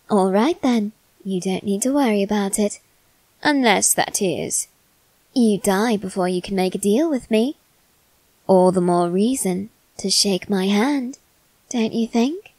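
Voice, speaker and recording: A silky young male demon, played by a woman, speaks softly and courteously straight to the listener in an ASMR roleplay. The delivery is slow and unhurried, at an average pitch, close to the mic in a dry, dead room. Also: no echo. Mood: coaxing. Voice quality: soft, measured and courteous.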